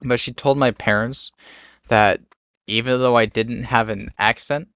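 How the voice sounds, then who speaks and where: Young man, relaxed casual talker, close dry sound. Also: mid-range voice, unhurried, clean tone, no echo. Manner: easygoing, laughs easily. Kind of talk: casual chat.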